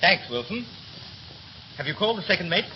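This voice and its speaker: A young third officer in a 1930s radio play, with a highish, very animated voice. He is breezy, clipped and slangy, with a resentful edge. The recording is muffled, with a faint hiss. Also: fairly quick. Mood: brisk. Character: young ship's third officer, chatty, scornful, bitterly resentful.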